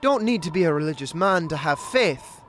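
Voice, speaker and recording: An actor playing a mean, pious outlaw gunslinger with a taunting manner in a western audio drama. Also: male voice, a little high, lively intonation, moderate pace, a bit bright, a little hiss or hum. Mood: cold, taunting.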